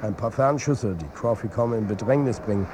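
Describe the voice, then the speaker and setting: Actor as wry football coach over background noise. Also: male voice, lowish voice, a little fast, natural voice. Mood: wry, building hope. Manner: colloquial, wry, confiding. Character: weary, wry male football coach and family man. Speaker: narrator and protagonist. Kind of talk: first-person radio drama narration.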